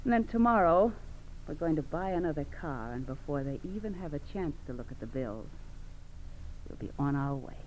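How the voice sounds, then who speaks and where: An actress playing a domineering, scheming American criminal wife in 1940s radio crime drama, bossy, coaxing and belittling. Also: female voice, sits low, animated, moderate pace, darkish tone. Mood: scheming, persuasive. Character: domineering, scheming criminal wife goading her frightened husband. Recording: dull old radio recording with hiss.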